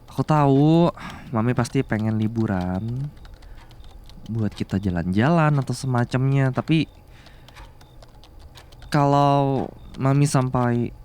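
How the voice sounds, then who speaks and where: A man's voice: medium pitch, slow, long pauses, a little mellow, smooth, close to an everyday voice. A man playing an attentive, bashful young man doting on his older boss girlfriend in a romance roleplay, coaxing and a little hesitant. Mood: concerned. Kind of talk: Indonesian ASMR romance roleplay.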